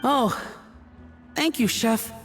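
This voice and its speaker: A woman's voice: on the high side, very slow, soft background music. A woman voicing a timid, flustered young female junior cook in a game, apologetic and hesitant, with a stammer. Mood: meek. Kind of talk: video game voice acting.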